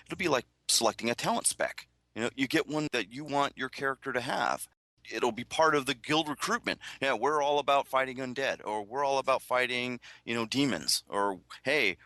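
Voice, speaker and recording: A man's voice: mid-range voice, pushed and bright, no echo. A man on a gaming podcast panel, explaining an idea in a conversational way.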